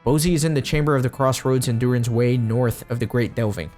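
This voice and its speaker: A man reading aloud a sorrowful, polite dwarf's dialogue from a fantasy game in formal, gentle phrases. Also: mid-range voice, fairly quick, faint music behind, close to an everyday voice. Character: sorrowful, polite male dwarf dreading grim news.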